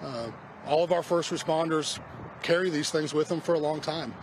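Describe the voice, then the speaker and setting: Halting fire spokesman outdoors. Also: fairly high pitch, steady tone. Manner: halting, repeats words when unsure. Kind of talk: press briefing. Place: outdoors by a parking lot. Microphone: news camera mic, close. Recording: outdoor street noise.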